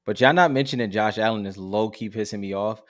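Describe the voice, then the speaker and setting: A man's voice: lowish voice, fairly quick, a bit bright. A man co-hosting a live podcast with laid-back, slangy, colloquial banter.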